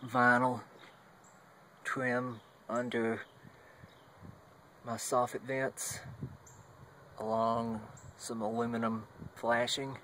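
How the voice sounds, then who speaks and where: A man giving a casual, unscripted hobbyist walkthrough on a phone, halting as he thinks aloud. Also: mid-range voice, little pitch movement, bright, forceful tone, a little hiss or hum. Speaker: hobbyist demonstrating a home radio antenna setup. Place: at home, moving between indoors and outside. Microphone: handheld phone while filming.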